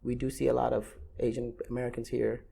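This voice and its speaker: A man's voice: medium pitch, level tone, fast, creaky, warm tone, breathy edge. A Vietnam-born ramp agent in his thirties, answering interview questions casually with many fillers and restarts.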